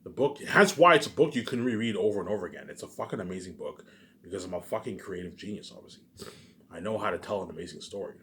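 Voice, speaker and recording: A man's voice: low-pitched, lively intonation, fast talker, sharp tone. A man ranting alone to his viewers, profane and boastful, who keeps circling back with repeated words. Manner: repetitive, keeps adding "obviously". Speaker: self-published writer vlogger. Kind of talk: rambling solo rant to viewers.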